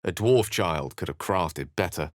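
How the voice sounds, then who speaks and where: An actor playing a scholarly, self-assured and romantic human wizard in a video game, with an eloquent, theatrical way of speaking. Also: male voice, deep voice, lively intonation, a little slow, no echo, clean studio sound. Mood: grave, narrating. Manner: eloquent, theatrical, ornate phrasing. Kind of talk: video game character lines and narration.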